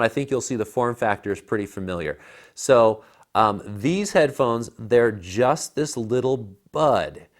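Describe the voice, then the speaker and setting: A man in his thirties giving a casual, emphatic and opinionated product review as a co-host. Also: on the low side. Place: living room by a fireplace, indoors. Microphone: lapel mic.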